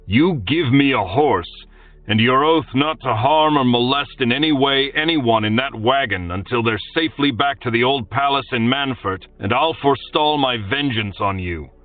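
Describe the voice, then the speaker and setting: A man's voice: medium pitch, normal speed, no room sound, far from the mic, phone-quality sound, voice pushed up, close to an everyday voice. A man voicing a defiant young swordsman who cheerfully taunts his enemy.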